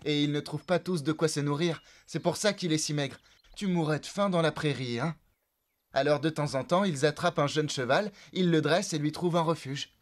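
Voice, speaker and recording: A man as a calm teenage cartoon horse trainer. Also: on the high side, fairly quick, natural voice. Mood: helpful, calm. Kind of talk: animated series voice acting, French dub.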